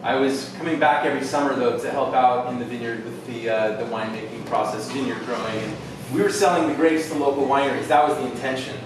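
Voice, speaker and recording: A middle-aged man from Southern California talks guests through a wine tasting in a relaxed, rambling way full of fillers. He sounds far from the camera in a very echoey room. There is steady noise in the background. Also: medium pitch, fast talker. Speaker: winemaker giving a tasting. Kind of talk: casual tasting talk to guests.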